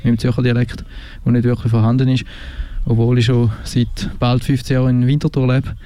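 A man from the Canton of Zurich with a Swiss German accent, talking casually as a radio DJ between records, relaxed and rambling with many hesitations. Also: medium pitch, a little fast, clear, resonant tone. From Switzerland, Canton of Zurich.

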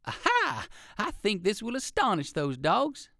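A man voicing a polite, fretful old gentleman rabbit in a storybook, his pitch pushed up. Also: expressive, normal speed, no room sound, studio quality. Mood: gleeful.

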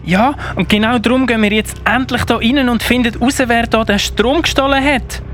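A performer playing an eager but timid little boy in a children's Christmas radio play, with a slight excited stammer. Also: medium pitch, normal speed, noisy background, close to an everyday voice.

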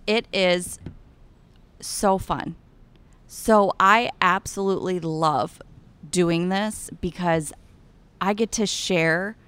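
A woman's voice: fairly low pitch, slow, long pauses, clean tone. A young woman with an Iowan accent, chatting enthusiastically as an interview guest about being a cattle ambassador. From Iowa. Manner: bubbly and enthusiastic, gushing with excitement. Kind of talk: casual interview chat.

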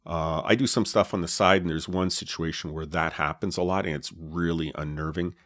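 A man with a low voice, co-hosting a podcast and explaining his gear in a relaxed way, with many fillers, on a dynamic mic with a pop filter. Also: brisk, no echo, studio quality. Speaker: podcast co-host. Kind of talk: casual chat about podcast gear. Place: home podcast setup. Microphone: dynamic podcast mic with pop filter and foam cover.

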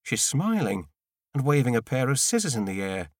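A man reading a first-person novel in an understated, dry, clearly spoken storytelling manner. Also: mid-range voice, big pitch swings, moderate pace, darkish tone, dry recording. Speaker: audiobook narrator.

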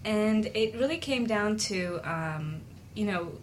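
A woman's voice: mid-range voice, expressive, slow, slight background noise. A woman co-hosting a talk show, sharing personal experience in an earnest, confiding, conversational way.

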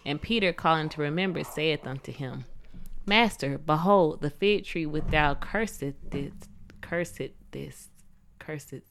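Low-voiced woman co-hosting a faith podcast. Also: measured pace, slight background noise. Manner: playful, laughs often, reads scripture aloud. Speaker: podcast co-host. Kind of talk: casual faith podcast chat and scripture reading.

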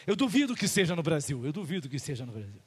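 Animated Brazilian pastor, echoing hall. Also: male voice, on the high side, quick pace, slightly rough. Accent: Brazilian Portuguese. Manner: animated preaching, rhetorical questions, acted-out dialogues. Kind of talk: sermon. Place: church.

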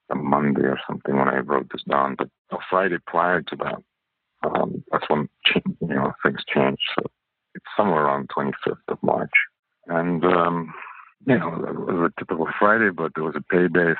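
Husky deep man over a phone line. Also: fairly even intonation, no room sound. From Canada. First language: Russian. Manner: halting, many fillers and restarts. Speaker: architect guest. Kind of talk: storytelling interview answer. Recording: phone-like narrow audio.

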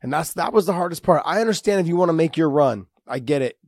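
A man in his forties with a slightly higher voice chats loosely on a podcast, rambling and cracking jokes with frequent laughs. The sound is dry and close, with no room around it. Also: expressive, fast, a little mellow, no room sound. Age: 40s. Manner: jokey, rambling, laughs often. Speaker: podcast co-host, former pro fighter. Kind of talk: casual podcast chat.

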